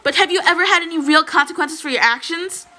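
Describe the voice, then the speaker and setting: A woman's voice: very high-pitched, fast talker, sharp tone, pitched above the performer's own voice. A woman voicing an indignant, confrontational teenage girl who argues in an accusing, insistent way, as in an audiobook drama. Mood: heated.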